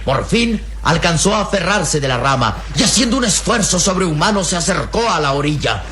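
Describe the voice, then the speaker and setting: A man narrating an adventure radio serial in a dramatic, measured way. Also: on the high side, big pitch swings, a bit bright, a little hiss or hum.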